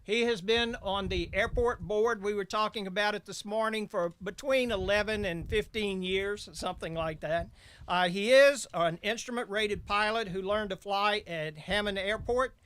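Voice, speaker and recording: A man's voice: high voice, normal speed, fairly bright tone, clean tone. A middle-aged man giving a folksy welcome speech as master of ceremonies.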